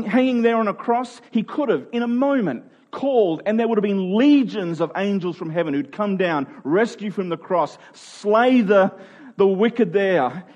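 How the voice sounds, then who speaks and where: A man's voice: high-pitched, a little mellow, dull audio. Conversational male pastor preaching. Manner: conversational preaching, rising to emphatic peaks. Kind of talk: sermon.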